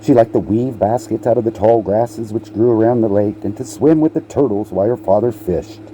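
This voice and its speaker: A man reading a children's picture book aloud in a halting way, repeating words and slipping in playful asides to the listener. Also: lowish voice, dark tone, slight background noise, low-quality recording. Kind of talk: storybook read-aloud with casual asides.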